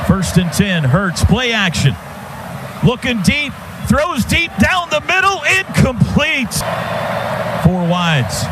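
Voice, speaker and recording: A man calling live football on radio as the play-by-play announcer, in clipped phrases that build to shouts on big plays, over loud stadium noise. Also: extremely high pitch, very animated, slow pace. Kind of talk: excited live football commentary. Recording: noisy stadium radio broadcast.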